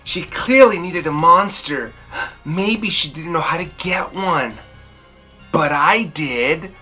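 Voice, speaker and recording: A woman storyteller reading a children's picture book aloud expressively, with dramatic, drawn-out emphasis. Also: sits low, bright, forceful tone, slightly rough, soft background music.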